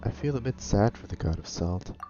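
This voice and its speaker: A man's voice: on the low side, expressive, brisk, dark tone, soft background music. A man giving casual, chatty gameplay commentary, reacting aloud and muttering asides. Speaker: gamer. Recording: dull lo-fi mic with faint noise.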